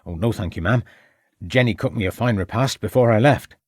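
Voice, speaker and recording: A man's voice: fairly low pitch, fairly quick, no room sound, clean studio sound, natural voice. A man voicing a young, red-faced village constable who reports earnestly and deferentially.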